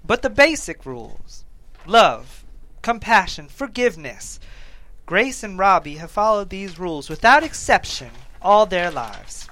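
An actor at a table read playing a genial priest addressing a wedding. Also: male voice, high voice, very animated, a little slow, bright, forceful tone, exaggerated voice. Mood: warmly ceremonial. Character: genial male priest giving a wedding homily. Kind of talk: scripted table read acting.

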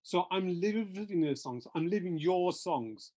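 A man interviewing a musician, keen and halting, repeating words as he starts. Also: sits high, animated, dark tone. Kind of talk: casual interview chat.

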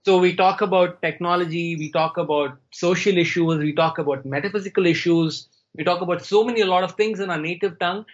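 A man from India, a Tamil speaker, talking slowly and thoughtfully as a podcast guest over a remote call. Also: high voice, steady tone, dull audio. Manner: slow, searching, thinks aloud. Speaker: guest storyteller. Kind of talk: reflective interview talk.

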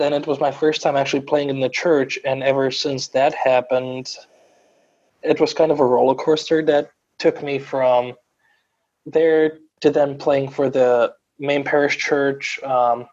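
A man's voice: mid-range voice, darkish tone, muffled recording. A man in his twenties from Croatia, a native Croatian speaker, telling his story earnestly as a guest in a podcast interview. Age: 20s. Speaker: guest organist.

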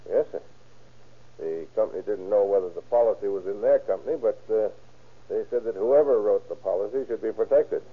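An actor playing a plain-spoken, cautious boat captain in an old radio crime drama. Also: male voice, on the low side, brisk, husky. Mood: matter-of-fact. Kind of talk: 1930s-40s radio crime drama acting. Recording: muffled, scratchy old-time radio recording.

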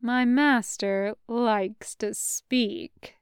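A woman's voice: on the high side, fairly even intonation, drawn-out words, smooth, no echo. A woman voicing a sardonic, irritable female assassin, mocking and dry, in a sci-fi audiobook. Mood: dry, needling.

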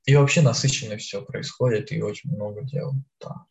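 A man's voice: lowish voice, fairly even intonation, normal speed, creaky, a little mellow, dull audio. Eighteen-year-old guy chatting casually. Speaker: podcast co-host. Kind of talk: casual podcast chat.

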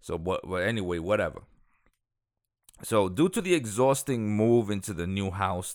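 Male true crime host, lower register, smooth tone, rambling casual delivery full of fillers. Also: fairly bright tone, dry recording, clean studio sound. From Dominican Republic, raised in Queens. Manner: rambling, full of fillers and self-corrections, chuckles aside. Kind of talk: casual storytelling.

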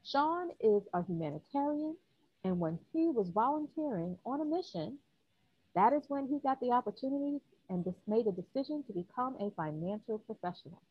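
A woman's voice: a little high, animated, a little fast, breathy edge, highs cut off. A woman hosting a podcast interview, introducing her guest and asking him questions. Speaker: podcast host.

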